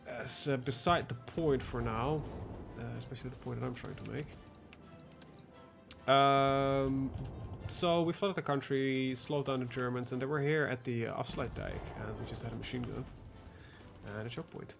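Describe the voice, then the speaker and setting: A man's voice: medium pitch, normal speed, gravelly, music in the background. A young man, rambling through casual gameplay commentary with lots of fillers. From Netherlands. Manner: rambling, chatty, trails off with fillers. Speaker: gaming video host.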